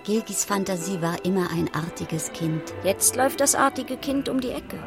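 A woman's voice: mid-range voice, lively intonation, normal speed. A woman reading scripted literary narration for a radio drama in terse phrases. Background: music.

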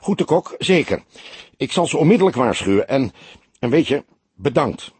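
A man's voice: medium pitch, sing-song, moderate pace, darkish tone, slightly rough, no echo, voice pushed up. A man voicing a young male police detective in an audiobook, hesitant, stammering and apologetic, anxiously pleading with his mentor. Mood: anxious, eager.

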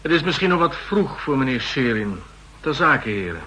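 An actor playing a brisk, authoritative general chairing a meeting with businesslike questions, in 1960s radio drama style. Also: male voice, mid-range voice, very animated, bright, forceful tone, slight background noise, poor audio. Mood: brisk, courteous.

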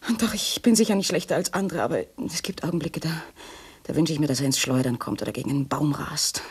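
An actress playing an agitated, unhappy wife with a secret lover, complaining intensely in a 1960s radio play. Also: female voice, deep voice, fast, husky, heavily acted. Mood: desperate, exasperated.

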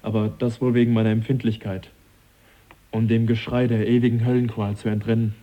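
An actor as a very mellow, reserved young gentleman. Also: on the low side, flat delivery, dull audio, lightly acted. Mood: hesitant, troubled. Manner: measured, deliberate, understated. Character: reserved, sensitive, polite young English gentleman naturalist. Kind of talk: radio drama dialogue.

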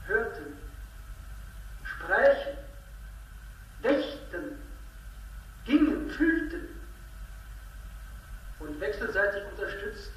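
Slow, high-pitched reciter of verse; roomy, distant radio-play sound. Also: male voice, fairly bright tone, exaggerated voice. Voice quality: measured, ceremonious declamation. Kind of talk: 1970s radio drama.

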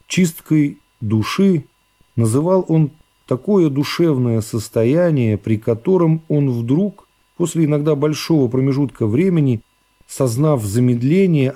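A man narrating a classic novel for a radio serial in an expressive, dramatic way, with deliberate pauses. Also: mid-range voice. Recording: music behind the voice. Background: music.

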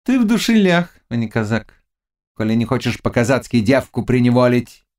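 A man voicing a mocking, scheming Cossack witch woman in an audiobook, with loud, taunting exclamations. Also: mid-range voice, very animated, moderate pace, voice pushed up, close to an everyday voice. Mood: scornful, angry. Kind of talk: audiobook character dialogue.

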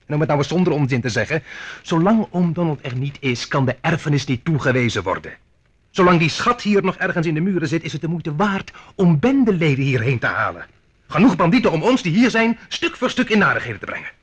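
Excitable young sleuth, husky and animated. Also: male voice, fairly high pitch, quick pace. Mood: exasperated, insistent. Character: excitable young amateur sleuth, earnest, argumentative nephew. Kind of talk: Dutch radio drama acting.

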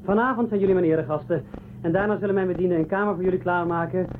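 Guy acting smug city captain, highish male voice, fake polite, radio play, tinny band. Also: steady tone, very fast, natural voice. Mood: falsely courteous. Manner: condescending, mock-courteous. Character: smooth, sinister, condescending male captain of an underground city. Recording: thin phone-like band, loud noise.

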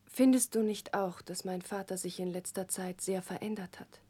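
An actress playing a gentle, anxious young woman in a 1960s German radio drama, speaking earnestly and confidingly in a breathy, hushed voice. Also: mid-range voice, steady tone, moderate pace, natural voice.

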